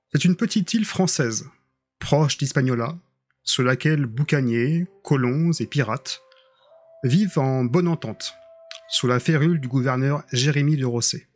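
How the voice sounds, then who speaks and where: A man as game master, setting the scene and introducing the players in a relaxed, conversational way. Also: medium pitch. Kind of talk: narrating a tabletop role-playing game.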